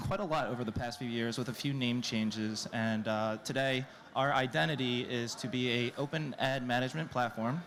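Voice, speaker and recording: A man's voice: mid-range voice, fairly bright tone, a little hiss or hum. A man from New York giving a live conference presentation in a conversational manner. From New York, United States. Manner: conversational, anecdotal. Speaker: conference presenter, programmatic director. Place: conference stage before an audience.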